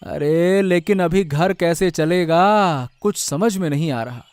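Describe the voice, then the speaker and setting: Man as worried veg seller husband, cartoon, highish voice, complaining. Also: slow pace, a little mellow, smooth, no room sound. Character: worried, struggling village vegetable-seller husband. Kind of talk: cartoon story voice acting.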